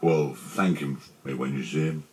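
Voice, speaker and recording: A man voicing a gloomy, self-pitying old donkey in a children's storybook, speaking slowly and mournfully with lots of grumbling. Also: rumbling low, fairly even intonation, voice pushed down. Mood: morose, grumbling. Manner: slow, mournful, complaining delivery.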